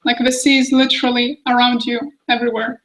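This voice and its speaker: A woman's voice: on the high side, little pitch movement, quick pace, fairly bright tone, low-quality recording. A woman in her twenties talking casually as an international student on a university webinar panel. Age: 20s. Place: small office meeting room.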